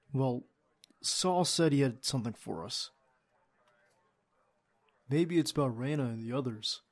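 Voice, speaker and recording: A young man playing a devoted secret agent boyfriend in an audio roleplay, with a husky, gravelly voice and an earnest manner. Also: mid-range voice, darkish tone. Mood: concerned. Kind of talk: ASMR boyfriend roleplay acting.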